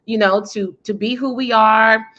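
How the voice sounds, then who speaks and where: A woman's voice: a little high, fairly even intonation, moderate pace, highs cut off. An American woman giving articulate, emphatic answers as an interview guest, with a powerful, self-assured voice. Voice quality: loud, powerful voice. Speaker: interview guest, PR coach and speaker.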